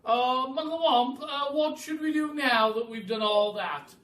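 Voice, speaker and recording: A man voicing an excitable, pompous roly-poly bird in a children's storybook, exclaiming and repeating words urgently. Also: very high-pitched, unhurried, pitched above the performer's own voice. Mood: weary, dutiful. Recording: roomy, distant mic, faint hiss.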